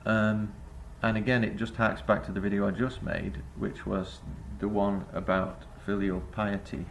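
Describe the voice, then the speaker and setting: Male vlogger, low and heavily gravelly, rambling reflective delivery full of hesitations. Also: level tone, a little slow, slight background noise. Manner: rambling, hesitant, many filler sounds. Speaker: vlogger and busking singer. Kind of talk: reflective spoken essay to camera.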